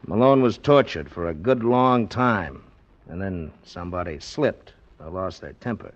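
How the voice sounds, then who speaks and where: A man's voice: deep voice, animated, a little slow. A man playing a shrewd, dogged police investigator, probing and dryly sarcastic, in 1940s radio drama style. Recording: muffled 1940s radio recording.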